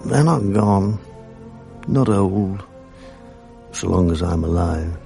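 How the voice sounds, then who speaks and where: A slow, grave, haunted narrator. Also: male voice, deep voice, lively intonation, creaky, warm tone, faint music behind, exaggerated voice. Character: brooding male narrator, haunted by the dead. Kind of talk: 1980s radio drama narration.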